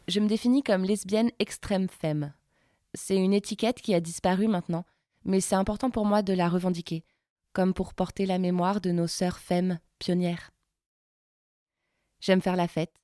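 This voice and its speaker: A woman's voice: medium pitch, fairly even intonation, unhurried, warm tone, no room sound, clean studio sound, close to an everyday voice. An actress playing a proud, flamboyant femme mother in an audio fiction self-portrait, declarative and self-assured. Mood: proud, content.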